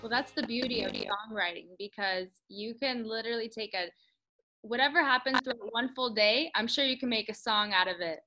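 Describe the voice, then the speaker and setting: A woman's voice: on the high side, fairly quick, low-quality recording. A woman hosting a country music interview, chatting enthusiastically and fond of puns. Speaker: interview host. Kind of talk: upbeat podcast interview.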